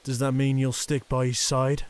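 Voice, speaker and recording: A man's voice: medium pitch, completely flat delivery, normal speed, smooth, lightly acted. A man playing a stern, proud Yakuza boss and conflicted father in an audio roleplay drama, speaking formally and with command. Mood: resigned, softening.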